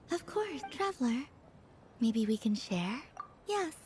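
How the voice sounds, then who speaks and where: Game role: naive otherworldly young woman, soft and high, unhurried delivery, music underneath. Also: sing-song, background noise, full character voice. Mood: gently pleased. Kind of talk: animated game dialogue. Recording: music playing behind.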